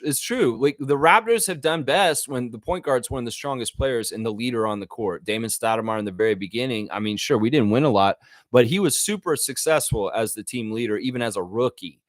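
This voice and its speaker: A man's voice: medium pitch, dry recording. A man hosting a live sports fan chat, talking informally and conversationally as he thinks aloud to his viewers.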